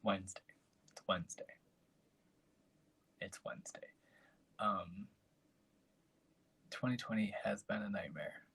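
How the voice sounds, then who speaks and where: A man hosts a casual live stream, speaking. His voice is deeply husky and raspy, set a little low, and often drops to a near whisper. He talks softly and rambles, with trailing asides as he chats with his viewers. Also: steady tone, unhurried, pauses often.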